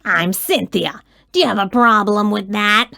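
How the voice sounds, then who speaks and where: A woman's voice: mid-range voice, measured pace, creaky, sharp tone. A woman voicing a haughty, snappish girl monster in a storybook. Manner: snappish, sniffy.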